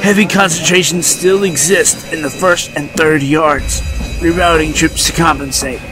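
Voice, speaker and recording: Man as higher-pitched military radio operator. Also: fairly even intonation, unhurried, close to an everyday voice, low-quality recording. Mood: businesslike. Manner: clipped, official. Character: male military radio operator, brisk and businesslike. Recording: music behind the voice.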